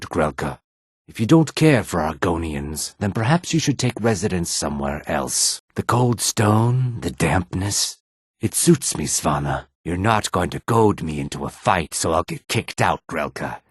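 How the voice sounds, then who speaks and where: A man playing a courteous, weary and dignified reptilian merchant in a fantasy video game, speaking formally in a throaty rasp. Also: low-pitched, dry recording, studio quality, heavily acted. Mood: indignant. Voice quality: raspy, husky, throaty. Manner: polite, formal, measured. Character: courteous male Argonian lizard-folk merchant, weary and dignified.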